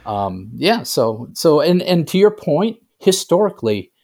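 A man's voice: a little high, big pitch swings, measured pace, darkish tone. An older man being interviewed as an author, speaking conversationally and often checking in with the listener. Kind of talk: casual interview chat.

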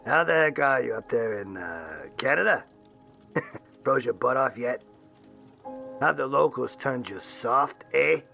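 A man playing a cocky, menacing gang leader in an audio drama, taunting with drawn-out sarcasm. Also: mid-range voice, expressive, creaky, sharp tone, faint music behind, exaggerated voice. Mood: mocking. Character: cocky, mocking, menacing tough-guy male gang leader.

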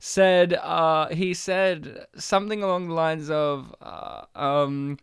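A talkative, animated man chatting casually and teasingly about films as a podcast host. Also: on the high side, slow, clean tone, dry recording, studio quality. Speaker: film discussion podcast host. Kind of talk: casual film chat.

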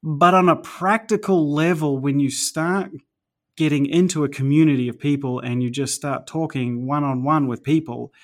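A man's voice: fairly high pitch, steady tone, normal speed. New Zealand man telling long stories. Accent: New Zealand, partly American-sounding. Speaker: church planter guest. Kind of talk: casual interview, long storytelling.

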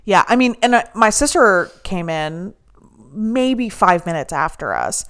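Animated, loud-voiced woman co-host. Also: medium pitch, a little slow, clean tone. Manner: loud, animated, swears a lot. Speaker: podcast co-host. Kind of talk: casual restaurant review chat.

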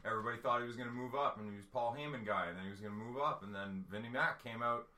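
A man co-hosts a casual chat podcast and chimes in with quick agreement. His voice is bright and sharp, and it sounds a little distant, as if he sits back from the mic. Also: medium pitch. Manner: agreeable, chiming in with quick backing. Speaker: podcast co-host.

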